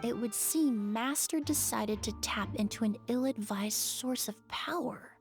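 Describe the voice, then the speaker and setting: An actress playing a composed, analytical young female investigator in an anime game, measured and polite. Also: fairly high pitch, soft background music. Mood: grave.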